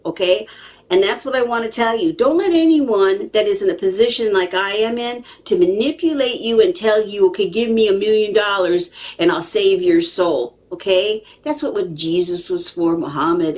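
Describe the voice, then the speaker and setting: A woman's voice: medium pitch, a little mellow. A woman telling a personal story as a psychic medium, dramatically and emphatically, repeating phrases for weight.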